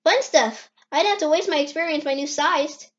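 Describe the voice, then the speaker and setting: A woman's voice: high-pitched, brisk, muffled recording, close to an everyday voice. A woman voicing an eager, playful little boy fox in an amateur comic dub. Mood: excited.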